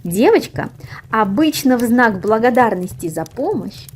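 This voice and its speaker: A woman reading a fairy tale aloud as expressive, scripted storybook narration. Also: fairly high pitch, measured pace, slight background noise. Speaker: audio fairy tale reader.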